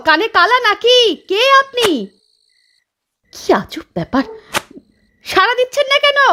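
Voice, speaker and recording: A woman voicing a frightened village wife who talks in an anxious, shrill way. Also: fairly bright tone, exaggerated voice. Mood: puzzled. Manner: shrill, anxious, cries out and pleads. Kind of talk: dramatized storytelling.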